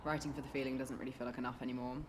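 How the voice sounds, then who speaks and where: A woman's voice: rumbling low, little pitch movement, very fast, noisy background. A British woman playing a broke, frustrated young writer who is teasing and blunt in a naturalistic radio drama. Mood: guarded, discouraged.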